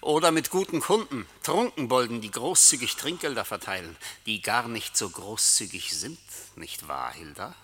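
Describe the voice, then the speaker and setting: An actor playing a sinister, taunting accuser who knows everyone's secrets, declaiming in a theatrical radio play. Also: male voice, mid-range voice, lively intonation, moderate pace, few pauses, pushed and bright, slight background noise. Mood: cutting, accusing. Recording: long hall-like reverb.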